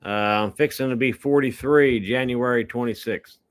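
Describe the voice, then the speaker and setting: A man's voice: mid-range voice, normal speed, smooth. A man, chatting casually in a viewer Q&A and repeating words as he tells stories. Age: 40s. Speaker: co-host of a family homestead channel. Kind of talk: casual live Q&A chat.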